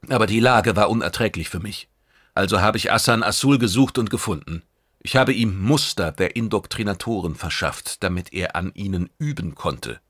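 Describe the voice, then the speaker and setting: A man plays a self-assured male operative in a science fiction audiobook. He speaks in a lowish, controlled voice and argues coolly and deliberately. The recording is dry. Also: lively intonation, a bit bright, slightly rough, no room sound. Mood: cool, insistent. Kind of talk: audiobook character dialogue.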